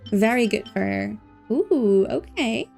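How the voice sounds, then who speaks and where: A woman livestreaming a game, chatting casually and playfully with expressive exclamations and silly filler words, game music behind her. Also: on the high side, creaky. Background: faint video game music.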